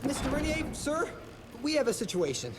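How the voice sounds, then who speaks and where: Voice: sits high, big pitch swings, normal speed, noisy background. An actor playing a nervous, apologetic lab assistant in a video game cutscene. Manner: anxious, apologetic, hesitant. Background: music score.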